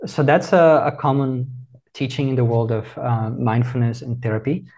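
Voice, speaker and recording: A man, answering in a measured, reflective, teacherly way over a video call. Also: mid-range voice, steady tone, darkish tone. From Brazil. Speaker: meditation teacher, guest. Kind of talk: calm explanatory interview answers.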